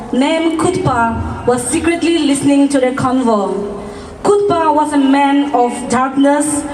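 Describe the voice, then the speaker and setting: A woman narrating a scripted folk tale in a dramatic, deliberate storytelling manner. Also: high-pitched, a little mellow. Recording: echoey room, distant mic, clear noise.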